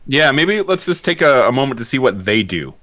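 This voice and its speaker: A man playing a tabletop game, asking halting, hesitant questions in casual chat. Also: medium pitch, big pitch swings, sharp tone. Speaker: player.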